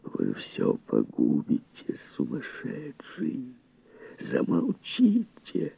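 A man voicing an anxious secret mentor who pleads and warns urgently in a breathy whisper, as an audiobook character. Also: fairly high pitch, huge pitch swings, slow pace, no echo, voice pushed up, exaggerated voice. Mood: pleading. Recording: narrow, phone-like lo-fi sound.

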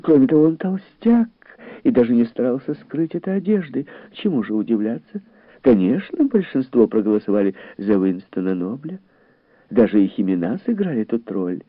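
Man voicing a gushing, high-pitched society lady. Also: very animated, moderate pace, no echo. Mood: gossipy, smug. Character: chattering, smug, shallow society woman, easily scandalized. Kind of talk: audiobook character voice. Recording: narrow, phone-like lo-fi sound.

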